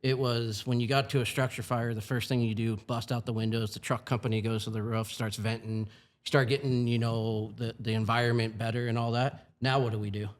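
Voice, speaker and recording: A man's voice: mid-range voice, flat delivery, a little fast. A man in his forties, a firefighting supervisor, talking in an earnest, plain-spoken way with many asides in a casual podcast chat. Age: 40s.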